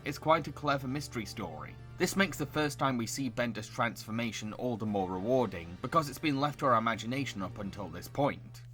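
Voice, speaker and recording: A man's voice: medium pitch, expressive, brisk, hardly any pauses, music in the background. A man reading scripted narration as a reviewer presenting cartoons. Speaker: video essayist reviewing cartoons.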